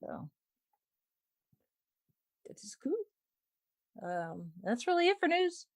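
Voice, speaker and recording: A woman hosts a podcast in a casual, playful tone. Her pitch swings around in a lively way while she takes her time over the words. She sounds wry as she shares fandom news. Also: slow, gravelly, dry recording. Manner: wry, playful, chuckles through talk. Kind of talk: casual chat.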